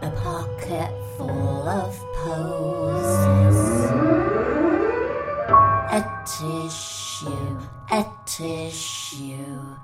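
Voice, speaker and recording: Very slow, eerie chanting witch over music. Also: low-pitched, very animated, heavily acted. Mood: eerie, lulling. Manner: drawn-out, chanting. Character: eerie, witchlike woman chanting rhymes and spells. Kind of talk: slow incantation in radio drama.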